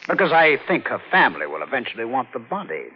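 An actor playing a businesslike, matter-of-fact man with a husky voice in a 1940s radio drama. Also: mid-range voice, huge pitch swings, fast talker. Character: matter-of-fact small-town man, businesslike acquaintance asking favors. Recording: dull old radio broadcast recording.

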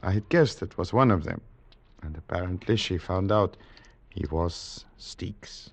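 An actor in a 1950s radio drama playing a grieving foreign man with a gravelly, husky voice. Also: low voice, a little slow, heavily acted.

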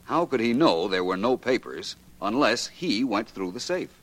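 An American man playing a shrewd, wry detective in a 1940s radio drama, speaking deliberately and with self-assurance. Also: lowish voice, lively intonation, fairly bright tone. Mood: confident explaining. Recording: vintage 1940s radio recording.

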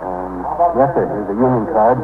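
Man acting uniformed cop, deferential, methodical, low-pitched, clipped delivery, 1950s radio drama, tinny broadcast. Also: moderate pace, very noisy, natural voice. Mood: dutiful. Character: dutiful, deferential uniformed patrolman reporting to superiors.

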